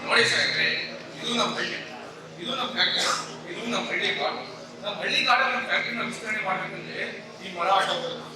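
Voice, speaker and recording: A man playing a scheming village middleman in an amateur stage play, loud, declamatory and bargaining. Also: sits high, animated, quick pace, creaky, a crowd in the background. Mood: scheming, insistent. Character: scheming male village middleman brokering farmland. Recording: echoey hall, distant mic, music behind.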